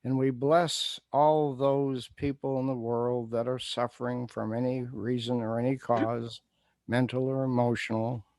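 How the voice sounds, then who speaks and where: Man, spiritual leader, improvised prayer, solemn phrasing, unhurried pace, dry sound. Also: medium pitch, level tone, a little mellow, dry recording. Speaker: spiritual leader giving a blessing.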